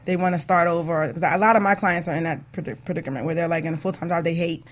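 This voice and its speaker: A woman's voice: fairly low pitch, fairly even intonation, very fast. A woman hosting a talk show, interviewing guests in a casual, informal way.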